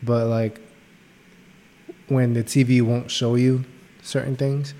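A man's voice: medium pitch, dark tone. A young man co-hosting a podcast, rambling and searching for words with many fillers. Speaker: podcast co-host. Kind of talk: casual podcast chat.

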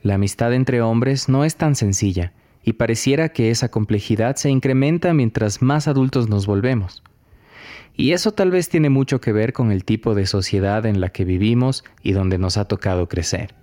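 A man hosting a podcast, reading scripted documentary narration in a measured, reflective way. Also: mid-range voice, dark tone.

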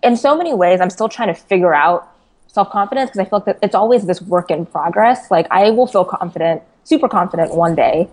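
A woman's voice: medium pitch, brisk, a little mellow. A woman from California, a journalist guest in an interview, answering thoughtfully. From California, USA. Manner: thoughtful, some "uh" and restarts.

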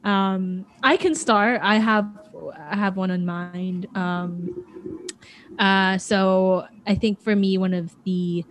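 A woman's voice: mid-range voice, steady tone, slow. A young woman co-hosting a chatty podcast, talking in a rambling way with frequent ums and restarts. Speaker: podcast co-host. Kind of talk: casual chat.

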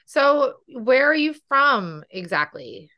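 A woman's voice: sits high, sing-song, slow pace, pushed and bright. A woman hosting a podcast as a weight loss coach, talking with enthusiasm and often tagging her points with "right?". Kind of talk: podcast interview.

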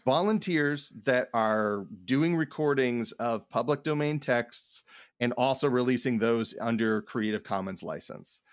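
A man co-hosts a casual podcast at an ordinary middling pitch. He rambles haltingly, repeating words while he works out his thought. The sound is close and dry, with hardly any room around him. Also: no room sound. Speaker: podcast co-host, art professor.

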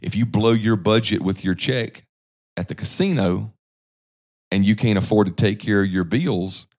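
Low-voiced man preaching on a podcast. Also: moderate pace, a little mellow. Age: 30s. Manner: earnest, preachy, restarts mid-sentence. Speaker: podcast host. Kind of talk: church podcast talk.

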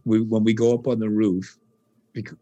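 Husky-voiced man telling stories in a dry room. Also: fairly low pitch, moderate pace, dry recording, muffled recording. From New York. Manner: animated, rambling storyteller with many restarts. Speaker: guitarist and composer. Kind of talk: casual interview conversation.

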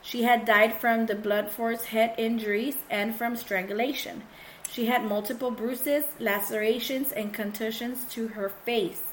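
Woman podcast co-host, hoarse from illness, bilingual casual storytelling, faint noise. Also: a little high, steady tone, a little slow, low-quality recording. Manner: switches between English and Spanish mid-sentence. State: sick, coarse voice. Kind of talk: casual true-crime chat.

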